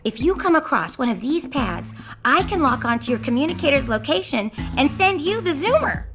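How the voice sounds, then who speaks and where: A woman's voice: high-pitched, big pitch swings, moderate pace. A young woman playing a plucky, sassy young mechanic in a video game, with music behind her. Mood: earnest, instructive. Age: young adult. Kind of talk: animated video game acting.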